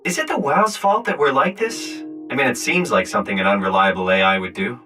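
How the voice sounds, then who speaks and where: A man's voice: medium pitch, brisk, pushed and bright, faint music behind, lightly acted. An actor voicing a questioning, earnest everyman hero in a video game, talking in a casual, wry way. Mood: curious. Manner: casual, inquisitive.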